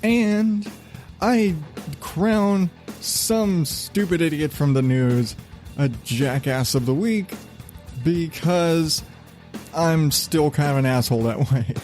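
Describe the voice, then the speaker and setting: A man hosting his own podcast, talking in a loose, rambling, self-deprecating way with a relatively low voice, laughing and swearing casually. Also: slow pace, a little mellow, soft background music. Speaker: podcast host.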